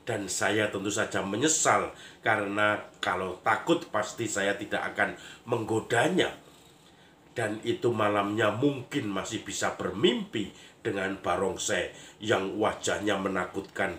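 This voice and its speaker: Hoarse priest with a cold, slow. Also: male voice, lowish voice, steady tone, fairly bright tone, reverberant, slight background noise. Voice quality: husky, a little nasal. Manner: chuckles often, storytelling; playful, mimics lion dance sounds. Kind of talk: homily.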